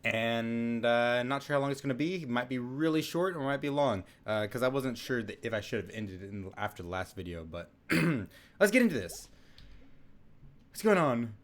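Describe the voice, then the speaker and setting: A man's voice: mid-range voice, expressive, normal speed. A young man hosting a casual let's-play, chatting in a rambling way with lots of fillers and restarts. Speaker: gaming channel host.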